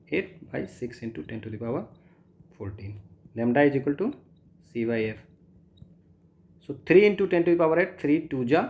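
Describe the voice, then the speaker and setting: Male tutor, Indian accent, mid-range pitch. Explains problems step by step, asking and answering his own questions. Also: darkish tone. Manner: thinks aloud, poses questions and answers them himself. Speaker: physics tutor. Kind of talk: step-by-step exam problem lesson, mixing Hindi and English.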